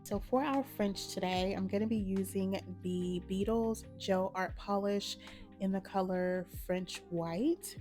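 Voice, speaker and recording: A woman hosting a nail art channel, slightly hoarse from a cold, talking viewers through a manicure step by step in a relaxed, matter-of-fact way. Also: medium pitch, a little slow, faint music behind. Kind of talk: casual step-by-step tutorial narration.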